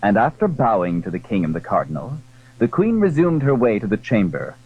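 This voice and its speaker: A man narrating a classic adventure story in a dramatic, scripted style, with a husky voice. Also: lowish voice, big pitch swings, a little fast, narrow, tinny audio.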